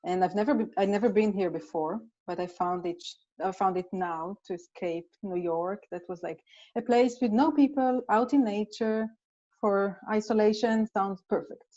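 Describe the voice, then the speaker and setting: Israeli woman playwright, second-language English, warm low-key tone, muffled video call audio. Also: moderate pace. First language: Hebrew. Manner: thoughtful, restarts phrases, searching for words. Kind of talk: casual conversation over video call.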